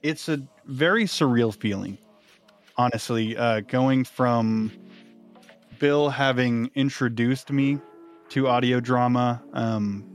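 Male podcast host, medium pitch, casual conversational delivery over music. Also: slow pace. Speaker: podcast host and audio drama creator. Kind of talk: casual behind-the-scenes chat.

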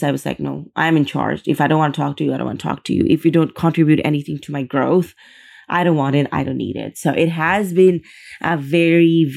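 A woman's voice: sits low, creaky, darkish tone. A young woman hosting her own podcast, talking earnestly and chattily, often repeating words for emphasis. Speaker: podcast host. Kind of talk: casual solo podcast talk.

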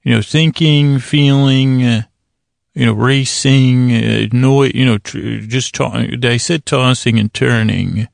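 A man hosting a bedtime podcast in a halting, meandering monologue, repeating himself, with a gravelly voice close to the microphone. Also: mid-range voice, fairly even intonation, dry recording. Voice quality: gravelly, creaky. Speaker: podcast host. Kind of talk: rambling bedtime monologue to help listeners sleep.

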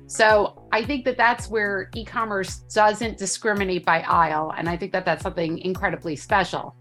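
Woman podcast co-host, articulate and upbeat, mid pitch. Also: a bit bright. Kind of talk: podcast hosting and commentary.

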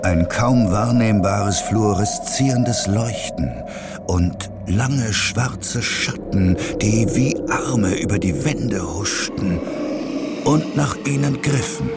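A man's voice: lowish voice, takes it slowly, over loud music. A man narrating a suspenseful audio drama as a storyteller.

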